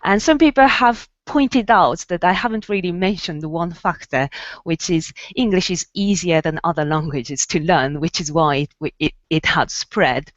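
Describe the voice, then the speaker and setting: A woman whose first language is Japanese talks through an academic question in a thoughtful, measured way. Her voice sits very low for a woman. The sound is dry and a little dull. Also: no echo. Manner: thoughtful, measured, with small laughs. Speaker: panel speaker. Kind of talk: academic panel discussion.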